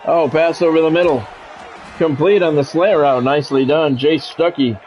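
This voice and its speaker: An American man doing live play-by-play of a video game football match in a measured announcer style, mixed with casual chat to stream viewers. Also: a little high, steady tone, a little mellow, a little hiss or hum. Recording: dull lo-fi sound with music behind.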